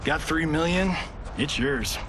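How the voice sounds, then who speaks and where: An actor playing a cocky, wisecracking Hollywood action star in a video game, American, glib and sarcastic. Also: male voice, on the high side, slow, background noise. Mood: teasing, smug. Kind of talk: video game cutscene acting. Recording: loud music bed behind the voice.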